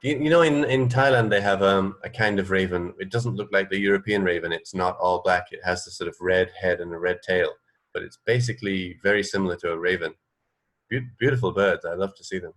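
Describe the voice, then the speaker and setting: A man's voice: deep voice, a little fast, a bit bright. A man co-hosting a radio show in casual, unscripted chat, tentative and thinking aloud. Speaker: radio co-host.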